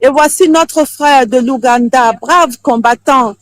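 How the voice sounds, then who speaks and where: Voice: high-pitched, measured pace, fairly bright tone, no room sound. An interviewer introducing speakers emphatically at a live protest. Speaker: street interviewer for an online channel.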